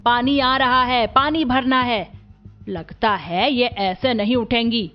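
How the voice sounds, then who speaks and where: Woman acting bossy cartoon aunt, harsh scolding, high voice, muffled audio. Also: slow pace, faint music behind. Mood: scolding, threatening. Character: cruel, scolding middle-aged aunt bullying her orphaned nieces. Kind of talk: Hindi animated family story dialogue.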